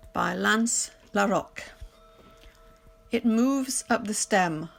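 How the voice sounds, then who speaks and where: A woman recites poetry at an unhurried, deliberate pace. Her mid-range voice has a strong creak, and faint music plays behind her. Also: mid-range voice. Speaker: poetry reader.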